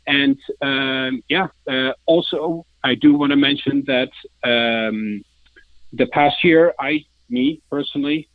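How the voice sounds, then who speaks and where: A man with a Dutch accent talks as an interview guest in sales. His delivery is halting and informal, at an average male pitch. The recording is dry and somewhat dull, like a band-limited call. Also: dry recording. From Netherlands.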